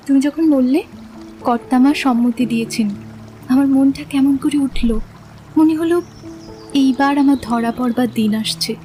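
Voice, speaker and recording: A woman playing a gentle, earnest young woman in a romantic audio drama, speaking softly and candidly about her doubts in love. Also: sits high, faint music behind. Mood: anxious, tender. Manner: soft-toned, sincere, measured. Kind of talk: Bengali literary audio drama acting.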